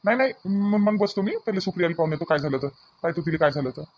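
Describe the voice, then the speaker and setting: A high-pitched, quick-talking young cartoon son played by a man with a Varhadi Marathi accent. He is earnest and anxious. His words hurry along and circle back on themselves. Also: animated. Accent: Varhadi Marathi of Vidarbha. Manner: earnest, hurried, repetitive. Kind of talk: family cartoon dialogue.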